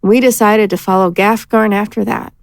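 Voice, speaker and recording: A woman's voice: mid-range voice, steady tone, moderate pace, smooth, no room sound, studio quality, natural voice. A woman playing a former bandit in a fantasy audio drama, candid, defensive and unashamed. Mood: frank, defensive. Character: frank, defiant female former bandit.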